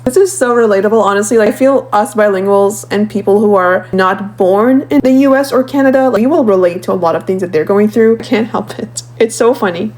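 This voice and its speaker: A woman's voice: medium pitch, a little fast, few pauses, a little mellow. Casual vlogger, woman, thirties, Arabic accent, native Arabic speaker, chatty and rambling, mid-range voice. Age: 30s. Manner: chatty, rambling, filler-heavy. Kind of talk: casual vlog chat reacting to clips.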